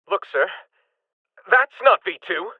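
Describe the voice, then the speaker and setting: A man's voice: high-pitched, expressive, takes it slowly, husky, no room sound, phone-quality sound, exaggerated voice. A man voicing an anxious, deferential junior military officer in a video game, speaking urgently to his superior.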